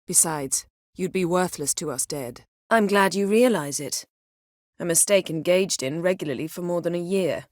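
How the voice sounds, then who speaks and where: Female actor as commanding, guarded fantasy-game sorceress: lower register, crisp sardonic bite, bone-dry studio sound. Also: moderate pace, darkish tone, dry recording, lightly acted. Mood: composed, dry. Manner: crisp, imperious, dryly sardonic. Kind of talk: fantasy video game voice acting.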